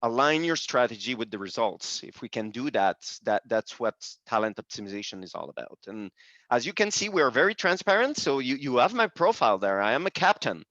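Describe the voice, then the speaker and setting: A French-speaking man from Quebec hosting a webinar in English with a Quebec French accent, pausing often and restarting his sentences. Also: mid-range voice, very animated, a bit bright, dry recording. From Quebec, Canada. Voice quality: frequent hesitations and restarts in a second language. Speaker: webinar host and presenter. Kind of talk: hesitant presentation. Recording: dull dry video call audio.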